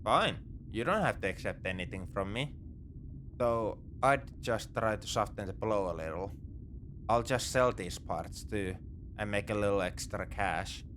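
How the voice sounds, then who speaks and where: A man's voice: lowish voice, a little slow, a bit bright, slight background noise. A man playing a morally grey space pirate captor in a sci-fi ASMR roleplay, talking in a casual, wry, coaxing way. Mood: wry, defensive. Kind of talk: second-person sci-fi ASMR roleplay acting.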